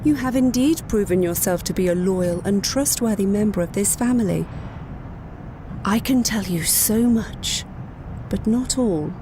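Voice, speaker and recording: A woman playing a scheming, power-hungry matriarch in a sci-fi audio drama, grand, measured and condescending. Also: medium pitch, background noise, lightly acted. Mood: grand, resolute. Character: scheming villainous matriarch of a power-hungry space-company family.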